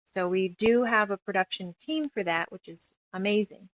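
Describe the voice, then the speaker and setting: Interview guest: woman from New Mexico, mid-range voice, earnest and halting, close dry sound. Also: mid-range voice, no room sound, lo-fi sound. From New Mexico, United States. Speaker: interview guest, author and radio show producer. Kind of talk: casual interview conversation, personal reflection.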